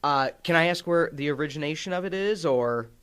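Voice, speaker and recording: A man's voice: fairly high pitch, a little fast, a bit bright, clean tone. A man hosting a radio sports show, chatting upbeat with a guest and laughing easily. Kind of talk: radio interview. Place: radio studio.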